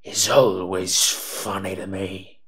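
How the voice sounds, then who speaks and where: Monster companion played by a man: lowish, forceful voice, sardonic and menacing yet protective, for audio roleplay. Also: fairly even intonation, slow. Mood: teasing, amused. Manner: teasing, sardonic, muttering asides. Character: eight-foot male smoke monster, sardonic, menacing, protective. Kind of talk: scripted roleplay audio drama.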